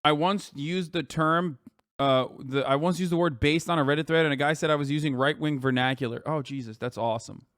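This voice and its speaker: Profane, fast-talking male streamer. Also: on the high side, no echo, clean studio sound. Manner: profane, animated lecturing to chat; rhetorical "okay?" asides. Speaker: live streamer. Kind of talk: live stream commentary reacting to tweets.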